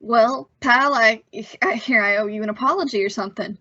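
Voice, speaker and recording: An awkward, eager-to-please young man acted by a woman in a vintage-style radio drama. The voice is high and bright, stumbling over words in boyish slang. The sound is close and dry, a little dull. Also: fairly quick, no room sound. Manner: hesitant, stammering, folksy slang. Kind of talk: old-time radio suspense acting. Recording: slightly dull, muffled audio.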